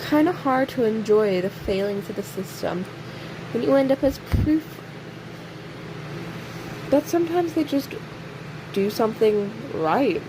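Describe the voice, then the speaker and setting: Woman acting a slow-drawling, snarky jailed killer. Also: on the high side. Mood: sardonic, smug. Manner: sarcastic, drawling, teasing, with pointed pauses. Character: snarky, taunting imprisoned serial killer, cocky and sardonic. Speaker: voice actor in an audio roleplay. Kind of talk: audio roleplay acting, addressing an unheard listener. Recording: steady clear background hiss or hum.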